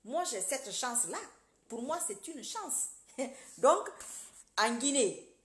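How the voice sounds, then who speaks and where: A woman giving a casual political monologue to her online followers. She speaks straight to the viewers in a preachy, animated way, swinging between loud emphatic bursts and quiet muttered asides. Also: sits high, takes it slowly, reverberant. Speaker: social media commentator.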